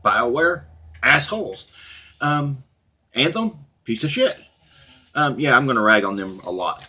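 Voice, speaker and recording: A middle-aged man with a husky voice, talking casually and off the cuff as a blunt, profane, opinionated gaming channel host. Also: medium pitch, expressive, a little slow, pushed and bright. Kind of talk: casual unscripted rant and commentary to viewers.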